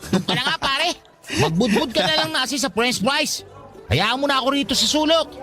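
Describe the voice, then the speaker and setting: An actor playing a lovestruck, playful young cook who teases and banters in a Tagalog radio drama. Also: male voice, very high voice, lively intonation, a little fast, bright, forceful tone, full character voice. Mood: mock annoyed. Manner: teasing, bantering. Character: lovestruck joking short man, fast-food cook, playful.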